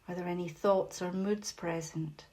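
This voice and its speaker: A woman leading a guided meditation as facilitator, speaking gently and without hurry, with long pauses and a soft voice that turns breathy at phrase ends. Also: lowish voice, fairly even intonation, slight background noise.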